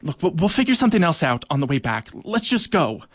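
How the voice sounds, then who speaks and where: A man voicing a soft-hearted young female worker ant who blurts out her worries and pleads. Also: fairly high pitch, big pitch swings, brisk, husky, no room sound, pitched above the performer's own voice, full character voice. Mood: pleading.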